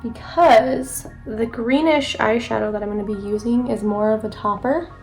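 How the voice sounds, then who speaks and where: A woman's voice: on the high side, fairly even intonation, moderate pace, darkish tone, faint music behind, rough recording. A young woman vlogger chatting casually through a makeup tutorial, sounding a little congested from allergies. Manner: chatty, rambling, self-correcting. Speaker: beauty vlogger.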